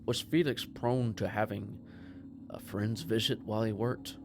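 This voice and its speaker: A man's voice: fairly low pitch, fairly even intonation, measured pace. A man playing a tabletop game, chatting casually and sometimes groping for his words.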